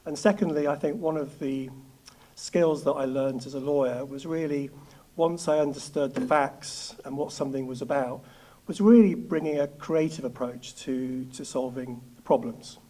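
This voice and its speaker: A man's voice: medium pitch, moderate pace, warm tone, low-quality recording. Man giving a business presentation. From northwest Spain. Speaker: law firm founder giving a talk. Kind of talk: prepared business presentation to an audience.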